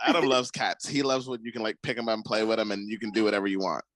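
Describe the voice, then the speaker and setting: A man hosting a podcast in a studio, chatty and quick to laugh, telling stories as he goes. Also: lowish voice, flat delivery, fairly bright tone, no echo, poor audio. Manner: chatty, laughs often, storytelling asides. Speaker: podcast host. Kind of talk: casual interview chat.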